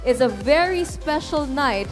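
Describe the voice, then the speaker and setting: High-pitched woman hosting a pageant. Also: lively intonation, unhurried. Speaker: pageant host. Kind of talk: formal stage hosting. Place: large pageant venue before an audience. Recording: music behind a stage microphone.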